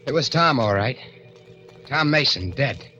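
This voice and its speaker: A man's voice: medium pitch, expressive, measured pace, sharp tone, faint music behind. A man playing a nervous small-time crook who narrates his story in tough-guy slang, in a 1940s radio drama. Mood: tense. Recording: muffled old radio broadcast.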